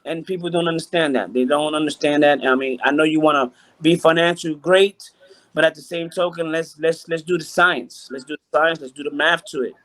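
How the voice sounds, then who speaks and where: A man's voice: a little high, fairly even intonation, fairly quick, a bit bright. A man from Puerto Rico, a hotel chief engineer, talking as a guest over a video call, often repeating himself and restarting sentences. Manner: repeats phrases, frequent restarts. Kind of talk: casual interview chat.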